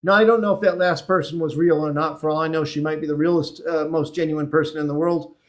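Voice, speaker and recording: An energetic man hosting a live online dating show. His voice sits high for a man, and he talks in an upbeat, encouraging, chatty way as he greets viewers and interviews callers. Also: fast, a faint crowd. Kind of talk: live-stream chat, reading viewer comments.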